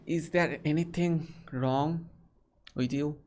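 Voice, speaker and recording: A man's voice: fairly high pitch, steady tone, takes it slowly, a little mellow, a little hiss or hum. A young man from India with an Indian English accent, chatting casually with strangers on a webcam and asking many short questions. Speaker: video chat host. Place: small bedroom.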